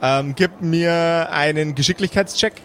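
A man narrating an improvised tabletop game as game master, haltingly searching for his words. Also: on the high side, fairly bright tone, a little hiss or hum.

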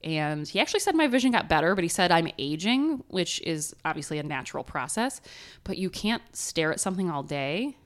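A woman's voice: on the low side, sing-song, fairly quick. A woman with a nasal voice, co-hosting a podcast and telling a story in casual chat, laughing often. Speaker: podcast co-host.

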